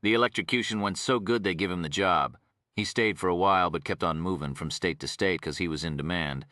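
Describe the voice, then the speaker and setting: A man's voice: sits low, fairly quick, pushed and bright, no echo, clean studio sound. A man voicing a retired Texan prison officer in an audiobook, an older man with a folksy, rambling drawl. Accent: Texas.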